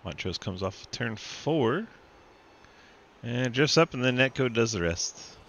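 Male race commentator, stuffy from a cold, playful, lively delivery. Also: medium pitch, slow, creaky. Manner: laughs often, playful. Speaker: sim racing commentator. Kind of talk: live race commentary and banter.

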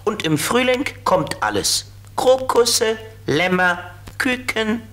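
A friendly little flying creature in a children's radio play. The speech is slow and simple. The manner is helpful and good-natured throughout. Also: male voice, high voice, very animated, fairly bright tone, slight background noise, heavily acted. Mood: explaining.

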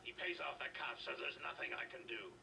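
An actor playing an anxious, meek older father in a video game, plaintive and creaky. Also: mid-range voice, expressive, a little fast, far from the mic. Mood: helpless. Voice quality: creaky, gravelly. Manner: plaintive, swooping delivery. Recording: game audio filmed off a TV, noisy.

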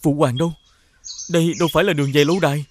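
A man playing a noble, honourable young prince in an animated fairy tale, speaking earnestly and formally. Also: a little high, rapid-fire, a little hiss or hum, lightly acted. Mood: puzzled.

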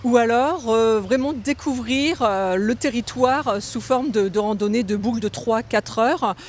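A woman's voice: on the high side, measured pace. Woman explaining in an interview. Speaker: tourist office director. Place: outdoors on a terrace by a car park. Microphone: handheld interview microphone held close. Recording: outdoor street noise.

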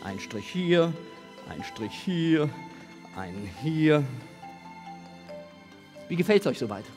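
High-pitched male stage magician over music. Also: very animated, slow pace, darkish tone. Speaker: stage magician and show presenter. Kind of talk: live stage magic patter to an audience. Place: large theatre stage with seated audience. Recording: music playing behind the voice.

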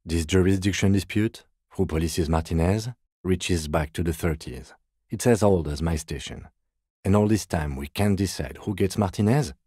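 Dry, restrained middle-aged detective for a video game; low voice, deadpan, close dry sound. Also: male voice, breathy edge, no echo. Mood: matter-of-fact. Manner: measured, restrained, formal, deadpan. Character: composed, dry, by-the-book middle-aged male police detective. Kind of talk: scripted game dialogue.

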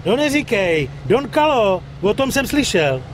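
An actor voicing a cheerful, streetwise gangster pal in a video game, slangy, breezy and joking. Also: male voice, sits high, big pitch swings, noisy background. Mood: breezy, curious. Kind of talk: video game cutscene acting.

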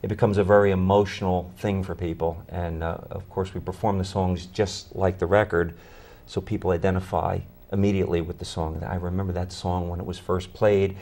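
A man's voice: deep voice, flat delivery, brisk, few pauses, a little mellow. A middle-aged man, a musician answering conversationally as an interview guest. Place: small TV studio, indoors. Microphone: lapel mic.

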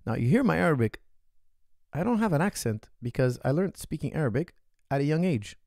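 Male coach, 30s, casual podcast Q&A, gravelly and emphatic, repeats himself for weight, dry close-mic sound. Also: a little high, fairly quick, dry recording. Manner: energetic, repeats phrases for emphasis, gestures widely. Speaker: martial arts coach. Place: small indoor room, seated. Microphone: studio mic on boom arm, close.

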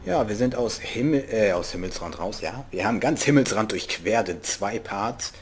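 A young man with a regional German dialect jokes and chats to himself while he plays. His voice sits fairly low, and faint game sound runs behind him. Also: expressive, moderate pace, a little hiss or hum. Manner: joking, playful, talks to himself. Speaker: let's play host. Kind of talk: casual gameplay commentary.

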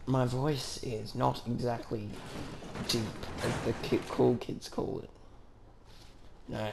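A man's voice: medium pitch, level tone, slow, vocal fry. A man giving casual, dry and sarcastic commentary while playing a video game. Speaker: gaming commentator. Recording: loud game audio and noise behind.

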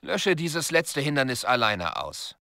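A man's voice: mid-range voice, sing-song, a little slow, creaky, sharp tone, slight background noise, exaggerated voice. A man voicing a stern military commander in a video game, giving curt, commanding instructions. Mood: brisk, instructing. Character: stern male military commander briefing a soldier.

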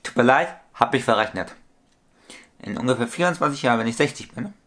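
Thirties man, at-home webcam vlogger, rambling and repetitive, average pitch. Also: lively intonation, fairly quick, a bit bright. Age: 30s. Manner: rambling, repetitive, corrects his own sums aloud. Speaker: toy collector vlogger. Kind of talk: casual vlog monologue. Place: small room at home with shelves.

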